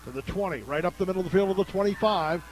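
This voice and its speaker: Casting note: high-pitched male play-by-play announcer, brisk game calling, local radio broadcast. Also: crowd noise.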